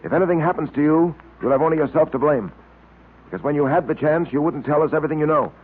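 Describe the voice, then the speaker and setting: An actor playing a stern, insistent detective with a firm, probing manner in old-time radio drama. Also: male voice, a little high, quick pace, slightly rough. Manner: firm, interrogating. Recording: tinny, hissy old-time radio recording.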